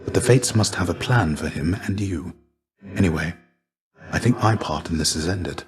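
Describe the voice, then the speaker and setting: An actor playing the ghost of a fallen Greek warrior in a video game, a gentle, fatherly mentor with measured, formal, old-fashioned phrasing. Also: low voice, expressive. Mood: reflective. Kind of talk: video game character dialogue.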